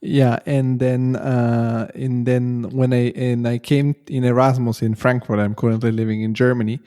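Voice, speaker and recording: A young man, a podcast host talking in a relaxed, conversational way. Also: mid-range voice, moderate pace, no echo, clean studio sound. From Bulgaria, raised in Italy. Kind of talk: podcast interview.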